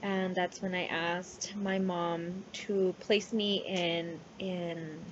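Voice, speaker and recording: A woman's voice: on the low side, flat delivery, slow, a little hiss or hum. Young woman, Spanish first language, college student; chatty class self-intro on a muffled webcam mic. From New Mexico, United States. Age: young adult. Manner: chatty, many fillers and "like"s. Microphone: laptop webcam mic.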